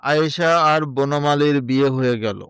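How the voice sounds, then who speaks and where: A man's voice: fairly high pitch, steady tone, normal speed, a bit bright, clean tone, dry recording. A man narrating a scripted moral story.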